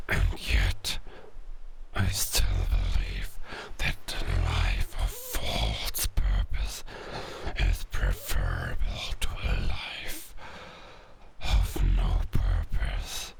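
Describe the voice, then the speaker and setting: A man voicing a weary, melancholic dying werewolf in an audio drama, speaking slowly and solemnly in a gravelly, breathy growl. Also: rumbling low, slight background noise, heavily acted. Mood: regretful, solemn. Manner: slow, solemn, poetic. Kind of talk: slow dramatic audio roleplay monologue.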